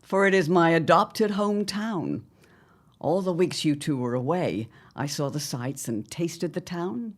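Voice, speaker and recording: Actress playing older mother-in-law, haughty, needling, low register, highly animated intonation, grand condescending delivery, radio-play acting. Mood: grandly condescending. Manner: pompous, condescending, drawn-out emphatic phrasing. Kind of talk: theatrical audio drama acting.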